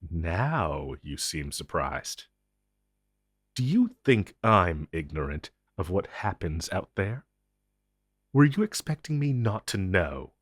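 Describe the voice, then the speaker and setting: A man playing an inhuman monster interrogator in an intense audio roleplay, taunting and condescending, with deliberate theatrical pauses. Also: low voice, sing-song, slightly rough, dry recording, studio quality, heavily acted. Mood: taunting, probing. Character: menacing male monster interrogator, inhuman, smug and possessive.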